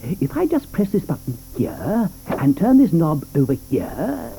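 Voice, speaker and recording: An actor playing a whimsical, confident chocolatier inventor who talks in a playful sing-song and spouts gibberish. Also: male voice, a little high, huge pitch swings, measured pace, gravelly, noisy background, exaggerated voice. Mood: cheerful. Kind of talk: 1980s studio radio play acting.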